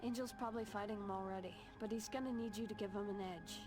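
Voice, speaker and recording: Woman doing a shy hesitant american witch girl, gentle, video game scene with music behind. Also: medium pitch, steady tone, fast talker, close to an everyday voice. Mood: tentative. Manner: tentative, stammering. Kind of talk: video game cutscene acting.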